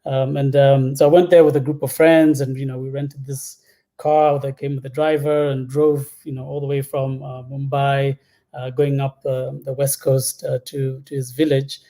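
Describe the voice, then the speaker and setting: A man's voice: medium pitch, little pitch movement, moderate pace, warm tone. A man in his thirties from Zambia, telling personal stories casually and hesitantly as an interview guest. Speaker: interview guest, product executive. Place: indoor room at home, on a video call.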